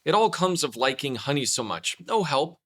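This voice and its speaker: A man's voice: on the high side, big pitch swings, normal speed, fairly bright tone, voice pushed up. A man voicing a simple, ponderous, honey-loving storybook bear who muses earnestly and repeats himself. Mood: flustered, rueful.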